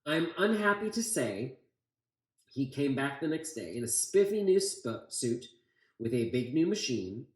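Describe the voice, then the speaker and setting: A man reading a rhyming children's story aloud expressively, with deliberate emphasis on phrases. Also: medium pitch, very animated. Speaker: volunteer storybook reader. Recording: echoey room.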